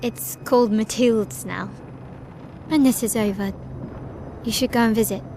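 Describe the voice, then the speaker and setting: A woman's voice: fairly high pitch, fairly even intonation, unhurried, slight background noise. An actress playing a determined young woman adventurer in a naturalistic, dramatic video game performance. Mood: friendly, reflective. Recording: loud music behind the voice.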